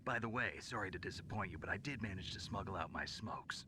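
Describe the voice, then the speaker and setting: An actor playing a cool, hard-boiled special forces agent in a video game, dry and sardonic in delivery. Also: male voice, quick pace, close to an everyday voice. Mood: wry. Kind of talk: scripted video game voice acting. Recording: clearly noisy game audio with low rumble.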